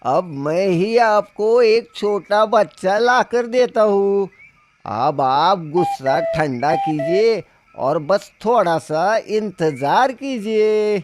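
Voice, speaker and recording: Man acting a high-voiced sly woodcutter. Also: expressive, drawn-out words, a little hiss or hum, exaggerated voice. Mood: coaxing. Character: sly, brave woodcutter posing as a sorcerer. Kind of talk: children's fairy-tale cartoon acting.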